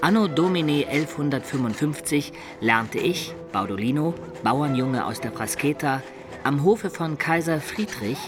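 A man's voice: on the high side, lively intonation. A man playing a roguish medieval Italian of peasant birth in a radio play, who halts and labours over archaic words as he writes his chronicle. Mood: proud recounting. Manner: laborious, halting dictation while writing, archaic wording.

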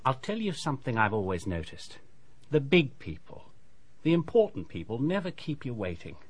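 A man playing a chatty, self-satisfied English dentist in a radio drama, with a brisk professional patter. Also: mid-range voice, sing-song, husky, no echo.

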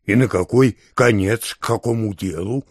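An actor playing an indignant, baffled provincial Russian townsman in an audio drama, raspy and theatrical, drawing out his exclamations. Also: on the low side, normal speed, no room sound, clean studio sound. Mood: baffled, scornful. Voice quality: raspy, creaky. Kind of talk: classic literary audio drama acting.

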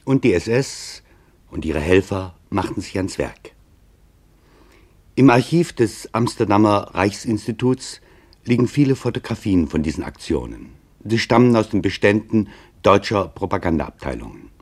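Male narrator, low pitch, grave, deliberate phrasing, weighted pauses, scripted documentary delivery. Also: lively intonation, a little mellow, breathy edge. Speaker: narrator of a radio documentary play.

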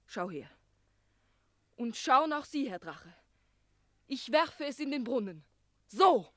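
An actress playing a tender, brave young maiden in a radio play, heartfelt and emotional. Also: female voice, high-pitched, big pitch swings, slow, no room sound, studio quality, full character voice. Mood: defiant. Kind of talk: German radio play acting.

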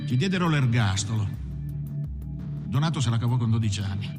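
A man's voice: medium pitch, animated, a little fast, loud music behind the voice, natural voice. An actor playing an unrepentant male convict who tells of his past in a matter-of-fact, conversational monologue. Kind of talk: film monologue told like testimony.

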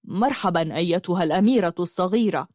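A woman's voice: on the low side, darkish tone, dry recording, lightly acted. Woman reading as a solemn young magician, dry sound. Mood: solemn, gentle. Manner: serious, measured, kindly. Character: young man posing as a solemn, gentle magician.

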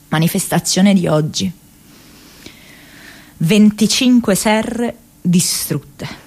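An actress playing a restless, ironic young woman activist in a radio drama, reading her diary in an expressive, hesitant, conversational way. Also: mid-range voice. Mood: grave, stark. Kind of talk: theatrical radio monologue, intimate diary reading.

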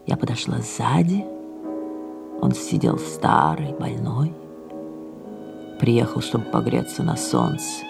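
Aging French diva: creaky, husky, imperious, theatrically swinging from cry to hush. Audio drama. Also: female voice, extremely low pitch, exaggerated voice. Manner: theatrical, shifting suddenly between exclamation and hush. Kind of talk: audio play acting.

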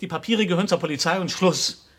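Fast-talking, husky defiant son. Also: male voice, high-pitched, fairly bright tone. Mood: agitated, fed up. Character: defiant grown son, fed up and stubborn. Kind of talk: German radio drama acting.

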